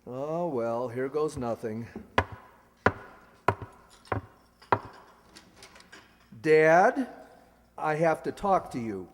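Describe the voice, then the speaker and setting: A man's voice: on the high side, lively intonation, slow pace, a little hiss or hum. A man playing an earnest, flustered young son who stammers, in an old-time radio comedy. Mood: nervous. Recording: echoey hall stage recording.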